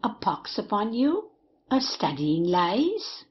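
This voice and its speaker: A woman's voice: mid-range voice, sing-song, takes it slowly. A woman playing a challenging, scornful companion in a period comedy audio play. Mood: mocking. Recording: dull, lo-fi audio.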